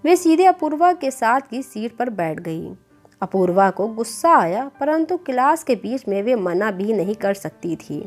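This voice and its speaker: A woman's voice: a little high, very animated, a little slow. A woman reading a scripted moral story as a storyteller. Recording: clear background music bed. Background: music.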